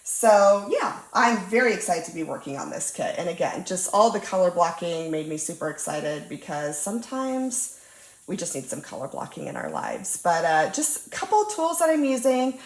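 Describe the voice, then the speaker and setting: A woman's voice: fairly low pitch, big pitch swings, moderate pace. A young woman with a cold and a slightly nasal voice, chatting casually and bubbly while she works on a craft at her table. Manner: bubbly, chatty, lots of ums and uhs. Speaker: diamond painting channel host. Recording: roomy echo.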